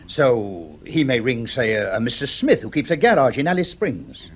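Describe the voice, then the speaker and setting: An actor playing an assured security colonel in an old-time science fiction radio drama, explaining plans in a measured, authoritative way. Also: male voice, medium pitch, sing-song, a little hiss or hum, natural voice. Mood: measured, scheming. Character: assured British security colonel, brisk and calculating. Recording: 1950s radio drama recording.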